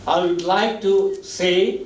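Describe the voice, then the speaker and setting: Man, high voice, very slow halting speech, echoey hall from a distance. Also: fairly even intonation. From Nepal. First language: Nepal Bhasha. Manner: slow halting delivery in short phrases, deeply moved. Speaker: author giving thanks at a book launch. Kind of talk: emotional formal speech. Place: event hall.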